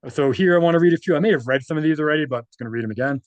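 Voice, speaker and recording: A man's voice: fairly high pitch, rapid-fire, dry recording, muffled recording. A man reading documents aloud and adding informal, conversational off-the-cuff commentary, often checking in with tag questions. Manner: conversational, often tags statements with "right?".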